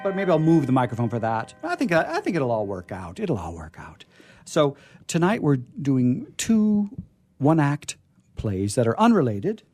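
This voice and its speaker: A man hosts in a casual, chatty style, his voice mellow and animated. He halts and repeats words as he goes. The sound is dry and close. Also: medium pitch, moderate pace, no echo, soft background music. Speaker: radio host.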